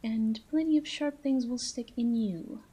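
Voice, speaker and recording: A woman playing a flirty, menacing female mob boss in a roleplay audio drama, teasing and deliberate, with breathy asides. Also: a little high, dark tone. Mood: quietly threatening.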